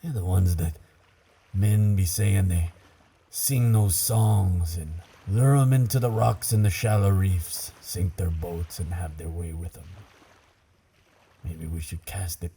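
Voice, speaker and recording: Gruff werewolf pirate captain for audio roleplay, played by a man: very low, slow and musing, salty stage pirate accent, gravel in the throat. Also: steady tone, dark tone, heavily acted. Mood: baffled, wary.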